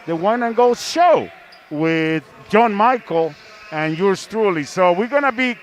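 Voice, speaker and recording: A man hosting a basketball live stream in a playful, chatty, casual way, over some venue noise. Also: sits high. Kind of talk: casual sports broadcast chat.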